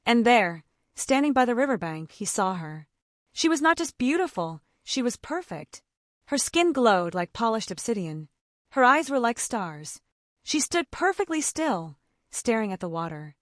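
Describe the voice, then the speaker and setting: Lady telling a folktale, suspenseful and theatrical, really lively pitch, dry studio-like sound. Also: measured pace, no echo. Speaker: storyteller. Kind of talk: dramatic scripted folktale narration.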